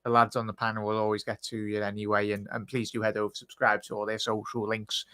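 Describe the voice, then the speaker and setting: A man's voice: lowish voice, monotone, fast talker, bright, forceful tone, no echo, studio quality. A man hosting a casual live football reaction stream on a video call, chatty and calling everyone mate. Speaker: host.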